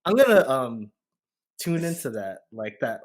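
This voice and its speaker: A man's voice: medium pitch, a little slow. A man from Hawaii chatting casually as a podcast host, telling a story in slangy speech and acting out what he was thinking. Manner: slangy, animated storyteller who acts out his thoughts.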